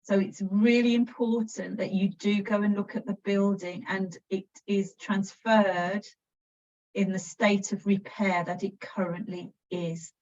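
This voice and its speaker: A woman with an English accent, a council officer explaining a process in an informal presentation, steady and practical with frequent restarts. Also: mid-range voice, measured pace. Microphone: video call. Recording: distant, dull video call audio.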